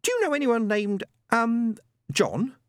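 A fussy middle-aged spirit medium with a raised, lively voice, as a male reader puts her on. She talks chatty and sweetly bossy, keeping her seance circle in line. Dry, close audiobook sound. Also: extremely high pitch, a little slow, clear, resonant tone, dry recording, pitched above the performer's own voice, heavily acted. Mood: businesslike.

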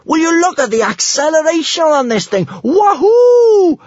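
A brash young Viking girl, acted out by a man, pitched up and lively, with a husky edge. She is teasing, reckless and eager, in the style of a storybook reading. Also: normal speed, dry recording, muffled recording, exaggerated voice. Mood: exhilarated.

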